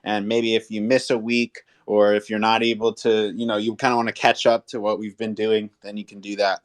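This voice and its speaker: A man with a fairly low voice and a flat, steady melody. He co-hosts a live audio chat, pitching things in casual, explanatory talk and often checking in with his listeners. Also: a little fast. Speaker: co-host.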